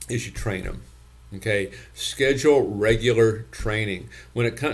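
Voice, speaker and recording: A man's voice: on the low side, fairly bright tone. A man in his sixties giving business coaching from a home office, folksy and repetitive, tagging points with "okay?". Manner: folksy, repeats points, ends lines with "okay?". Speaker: gym business consultant. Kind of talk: talking-head business coaching. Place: home office with bookshelves, indoors.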